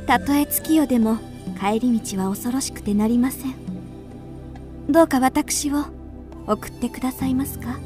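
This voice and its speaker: A woman's voice: high voice, normal speed, music in the background, close to an everyday voice. A woman playing a beautiful, demure young ghost in a radio drama, speaking in a polite, delicate, formal way. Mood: demure, pleading.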